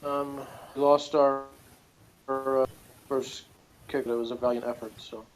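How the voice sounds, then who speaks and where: A man's voice: medium pitch, little pitch movement, a little slow. A man co-hosting a casual review chat over an unstable, noisy online call. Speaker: co-host.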